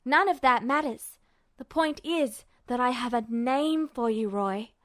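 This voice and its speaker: Woman doing a brash hyper teen boy for an audiobook, high voice, pushy and blurting, super emphatic. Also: measured pace, close to an everyday voice. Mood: urgent, excited. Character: excited, brash, impatient teenage boy with boundless energy. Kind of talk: audiobook-style character dialogue.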